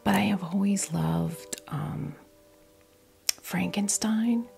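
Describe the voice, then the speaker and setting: A woman chatting in a breathy, creaky whisper for an ASMR unboxing video, rambling hesitantly through little asides as the host. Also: mid-range voice, slow, darkish tone. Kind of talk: ASMR, soft rambling chat. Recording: music playing behind the voice. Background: clear background music.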